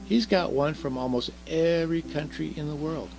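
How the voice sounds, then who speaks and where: A man voicing a curious, earnest little girl in a children's storybook, soft and chatty, asking and explaining eagerly. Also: a little high, normal speed, gravelly, over music, pitched above the performer's own voice, low-quality recording. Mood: proud, chatty. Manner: eager questioning, explaining carefully. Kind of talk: children's storybook read aloud.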